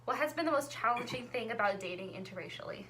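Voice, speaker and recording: A woman's voice: fairly high pitch, expressive, fast talker, fairly bright tone, slight background noise. Chatty young-sounding woman hosting a vlog. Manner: chatty, easygoing, laughs often. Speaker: vlogger hosting a couple tag video with her fiancé. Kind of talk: casual chat.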